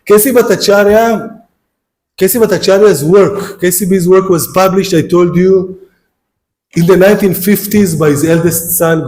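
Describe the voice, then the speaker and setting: A man with an Israeli accent lectures on philosophy in a somewhat high voice. He speaks English as a second language after Hebrew, often stumbling over and repeating words. He addresses the students directly. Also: a little mellow. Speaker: guest lecturer. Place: lecture hall.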